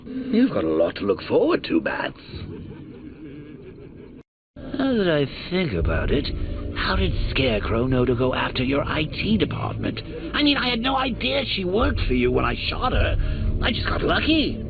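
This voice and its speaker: An actor playing a manic, sadistic male clown supervillain in a video game, with a gravelly, mocking, theatrical delivery. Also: on the high side, huge pitch swings, normal speed, heavily acted. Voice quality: gravelly, mocking, swooping sing-song delivery. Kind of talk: video game villain voice lines. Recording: tinny lo-fi game audio with music.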